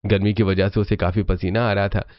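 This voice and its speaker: A man's voice: fairly low pitch, little pitch movement, fairly quick, no room sound, muffled recording. A man narrating a scripted cartoon family story as the storyteller.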